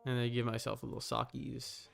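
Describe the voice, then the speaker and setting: A man's voice: medium pitch, flat delivery, a little fast, creaky, faint music behind. A man giving casual live gaming commentary as a streamer, informal, with muttered asides and mild swearing. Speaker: video game streamer.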